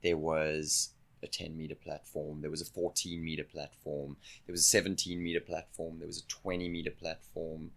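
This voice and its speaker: A young man from South Africa with a South African accent, chatting as a podcast guest in a relaxed way, laughing easily. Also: a bass voice, moderate pace. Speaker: podcast guest, circus acrobat. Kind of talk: casual interview chat.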